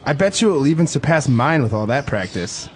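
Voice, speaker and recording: A man's voice: medium pitch, very animated, fairly quick. A man with a mid-range voice reads a student's part in a scripted lesson dialogue. He sounds enthusiastic and encouraging, with faint ambient noise behind him.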